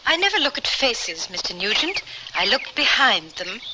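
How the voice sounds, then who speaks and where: A woman's voice: a little high, very animated, normal speed. An actress playing a prim, strong-willed, pious lady adventurer with formal, genteel speech in an old radio drama. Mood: composed, direct. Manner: formal, genteel, precise phrasing. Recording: noisy old radio recording.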